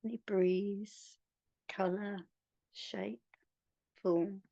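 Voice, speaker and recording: A woman guiding a meditation in a soothing, hushed manner, leaving long pauses between phrases. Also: mid-range voice, level tone, creaky, no room sound, lo-fi sound. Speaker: meditation guide. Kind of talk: guided meditation.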